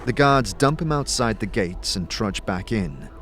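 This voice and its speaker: A man reading scripted, dramatic fantasy narration in a measured, solemn storytelling manner. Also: on the low side, expressive. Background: music.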